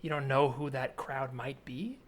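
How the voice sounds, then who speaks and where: A man improvising an earnest, obsessive man in his twenties in a naturalistic comedy scene, speaking haltingly with pleading intensity. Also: medium pitch, steady tone, close to an everyday voice. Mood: insistent. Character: earnest obsessive 27-year-old man haunted by flip-flops. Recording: remote recording with added ambient soundscape noise.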